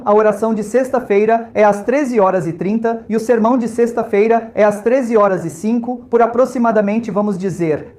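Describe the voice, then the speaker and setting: A man's voice: high-pitched, a little mellow. A man from India speaking as a volunteer host, giving an informal, conversational talk to visitors and often checking in with his listeners.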